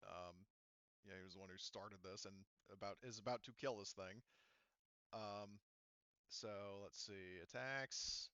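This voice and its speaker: A man running a tabletop roleplaying game as game master, talking in a casual, halting and sometimes profane way. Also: lowish voice, bright, forceful tone, clean tone, dry recording, muffled recording. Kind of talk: improvised narration and rules talk in an online tabletop roleplaying game.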